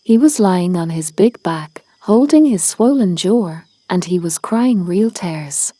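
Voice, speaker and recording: Mellow woman reading a children's storybook. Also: on the low side, expressive, takes it slowly, no room sound. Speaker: storybook reader. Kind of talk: children's read-aloud narration.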